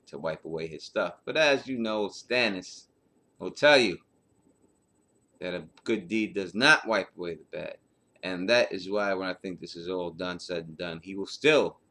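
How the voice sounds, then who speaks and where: A man's voice: sits low, sharp tone. A man giving informal, unscripted commentary as a host, in a rambling, colloquial way that circles back over points. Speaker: host of book chapter breakdown.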